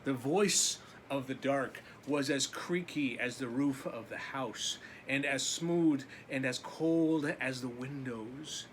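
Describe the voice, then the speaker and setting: A man's voice: medium pitch, slow pace, hardly any pauses, slight background noise. A man reading a picture book aloud as a teacher, with simple asides to his young listeners.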